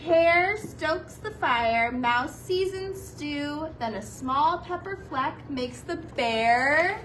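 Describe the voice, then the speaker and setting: Animated woman reading a storybook, high-pitched. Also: extremely slow, distant mic, a little hiss or hum, low-quality recording. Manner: animated, playful asides to young listeners. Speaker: kindergarten teacher reading a picture book. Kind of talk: expressive children's storybook read-aloud.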